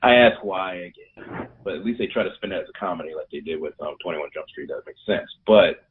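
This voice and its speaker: A man's voice: sits low, brisk, a little hiss or hum. A man co-hosting a casual movie podcast, blunt and sarcastic in his opinions. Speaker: podcast co-host. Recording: tinny phone-like line.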